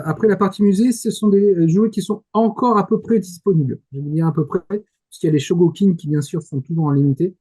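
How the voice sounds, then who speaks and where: A man's voice: on the high side, warm tone. A man in casual panel talk about anime, speaking as an enthusiastic fan who often searches for his words. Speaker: correspondent in Japan.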